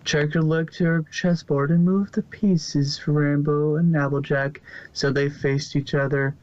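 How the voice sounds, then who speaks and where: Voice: mid-range voice, flat delivery, unhurried, a little mellow. A narrator reading a fan story aloud in a plain way, often stumbling and restarting words. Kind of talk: amateur fanfic reading. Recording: dull lo-fi home recording.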